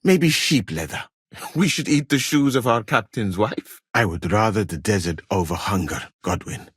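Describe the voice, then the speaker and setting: Casting: courteous, learned traveler-physician for a game; lowish husky voice, formal careful wording, expressive swings in pitch. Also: male voice, no room sound, studio quality, heavily acted. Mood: amused, wry. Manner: measured, formal, careful phrasing. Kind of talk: video game character dialogue.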